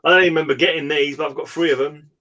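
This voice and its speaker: A man's voice: on the high side, steady tone, rapid-fire, sharp tone. A British man chatting casually and informally as host of a comics hangout. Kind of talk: casual chat.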